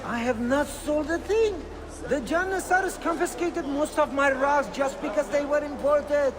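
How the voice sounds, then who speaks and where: A man's voice: very high-pitched, moderate pace, crowd noise, background noise. An actor playing a wheedling, chatty bazaar rug merchant in a video game, full of theatrical salesman's patter. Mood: haggling, aggrieved. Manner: salesman's patter, mock-offended. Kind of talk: video game character acting.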